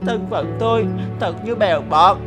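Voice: medium pitch, normal speed, natural voice. A performer voicing a weary, self-pitying clock second hand in a cartoon, complaining plaintively, with music behind. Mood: self-pitying, hurt. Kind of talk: animated fairy-tale cartoon dubbing.

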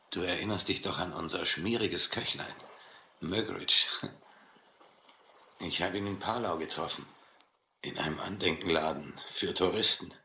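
An actor playing a brutal, proud, cynical sea captain who taunts and philosophizes in a German radio adventure play. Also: male voice, deep voice, sharp tone, echoey room, a little hiss or hum, heavily acted. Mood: cynical, matter-of-fact. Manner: mocking, slow deliberate taunting.

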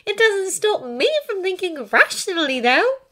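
A woman's voice: extremely high pitch, big pitch swings, normal speed, a bit bright, pitched above the performer's own voice, heavily acted. A woman voicing a bookish, earnest teenage witch who is exasperated but caring, in insistent audiobook dialogue. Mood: frustrated.

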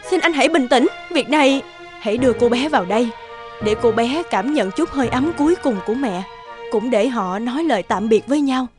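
A woman's voice: on the high side, animated, fairly quick, music in the background, natural voice. A woman playing a concerned, earnest hospital medic in a dubbed cartoon drama. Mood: sorrowful.

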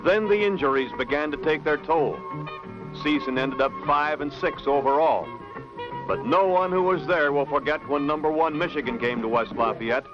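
Higher-pitched American male newsreel narrator. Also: moderate pace, low-quality recording. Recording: 1970s film soundtrack with music. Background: music.

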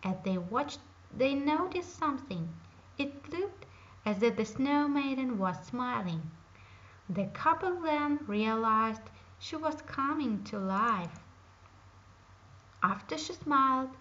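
A woman reading a fairy tale aloud in a slow, careful storytelling manner. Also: fairly high pitch, fairly bright tone. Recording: dull lo-fi home recording.

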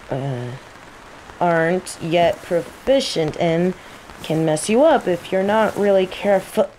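A woman playing a cocky, teasing female mage who controls plants, in a scripted roleplay audio drama, with an unhurried, playful drawl. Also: mid-range voice, low-quality recording. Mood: explaining. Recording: steady rain ambience noise. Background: falling rain.

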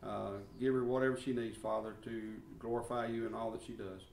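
A man introducing a guest teacher and praying, halting with fillers. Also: mid-range voice, little pitch movement, creaky, darkish tone, far from the mic. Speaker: church class leader. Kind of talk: introduction and prayer. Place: church classroom. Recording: roomy echo, from off mic, faint room noise.

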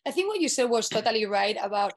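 A woman's voice: on the high side, very animated, fast talker. A woman from Spain with a Spanish accent, a native Spanish speaker, talking enthusiastically as an author in a video-call webinar. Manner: enthusiastic, speaks fluent English with frequent "um". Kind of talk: webinar conversation.

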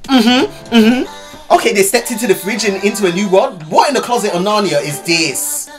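A man's voice: high-pitched, expressive, normal speed. A young man hosting a music video reaction from his bedroom, sassy and exclamatory, swearing freely. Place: bedroom at home.

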